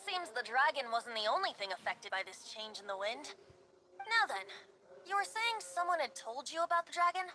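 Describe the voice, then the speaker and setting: A woman's voice: high-pitched, sing-song, normal speed, bright, forceful tone, a little hiss or hum, full character voice. A woman playing a youthful male wandering bard in a video game, breezy and playful, with a lilting theatrical manner. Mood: musing. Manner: lilting, theatrical, slips into verse. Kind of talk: video game character acting.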